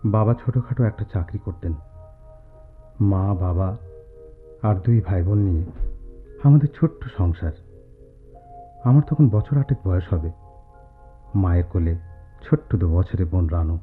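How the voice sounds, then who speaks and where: Quiet studious young man, tinny radio recording. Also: low voice, animated, unhurried, pauses often. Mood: wistful. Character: quiet, studious young man recalling his student hostel days. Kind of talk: Bengali radio drama acting. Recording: tinny lo-fi radio play, music behind.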